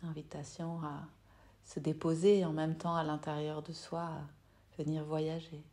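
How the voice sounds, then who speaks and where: A woman yoga nidra teacher leading a guided meditation in a soft, soothing, unhurried way with long pauses. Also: deep voice, level tone.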